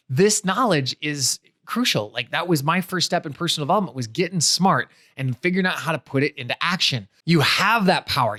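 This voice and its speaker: A man's voice: on the high side, a bit bright, no room sound, studio quality. A man hosting a podcast, wrapping up an interview and giving an enthusiastic, motivational outro that repeats phrases for emphasis. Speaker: podcast host.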